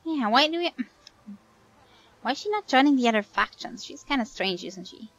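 A woman's voice: sits high, animated, moderate pace, fairly bright tone, dry recording, lo-fi sound. A woman whose first language is German, chatting casually while she plays a game. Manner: chatty, thinking aloud. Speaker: streamer. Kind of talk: casual gameplay commentary.

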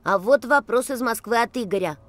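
Woman voicing a high-voiced, curious cartoon boy. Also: brisk, lightly acted. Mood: curious, keen. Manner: inquisitive, clearly enunciated. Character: bright, curious young boy reading out questions. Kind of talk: educational children's cartoon dialogue. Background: music.

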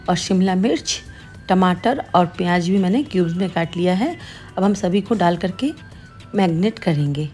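A woman's voice: mid-range voice, mellow. A woman hosting her cooking channel, patiently explaining each step of a home recipe.

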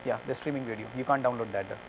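A man's voice: mid-range voice, rapid-fire, lo-fi sound. A man in his thirties, a conference speaker, answering audience questions with many fillers and restarts, on a lapel mic. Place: conference room with curtains.

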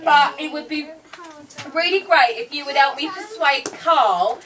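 Woman playing a high-voiced cheeky puppet cat. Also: animated, unhurried, a bit bright. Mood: coaxing, excited. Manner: chummy, slangy, playing to the audience. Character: cheeky chatty cat narrating her tale, longing to play. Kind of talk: live puppet show performance. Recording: distant camera mic, noisy room.

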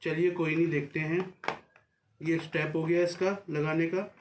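A man's voice: a little high, level tone, moderate pace. A man giving a casual hands-on unboxing, recorded across a desk in a small room. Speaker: vlogger presenting a product unboxing. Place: small furnished office room, indoors. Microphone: camera a few feet away across a desk. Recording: roomy echo, faint background noise.

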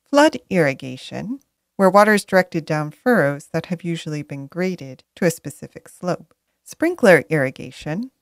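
A woman narrating a scripted educational video in a lively, emphatic way, stressing questions. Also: lowish voice, dry recording, studio quality. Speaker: presenter of land-buying explainer videos.

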